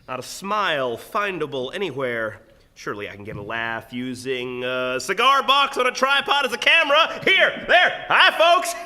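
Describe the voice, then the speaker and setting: A folksy, mocking small-town wag played by a man in a stage radio play. His voice sits high for a man and is very bright and forceful, with an exclamatory, showy delivery. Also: very animated, moderate pace, heavily acted. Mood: showy, teasing. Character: Jack Halliday, mocking small-town joker who notices everything. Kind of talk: theatrical live radio drama acting.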